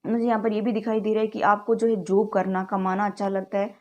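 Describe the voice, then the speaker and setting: A woman's voice: mid-range voice, flat delivery, fairly quick, lo-fi sound. A woman with a sore throat giving a chatty tarot reading, often addressing viewers as "guys" and mixing English words into her speech. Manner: mixes English words into Hindi. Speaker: tarot card reader. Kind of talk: direct-to-camera tarot reading.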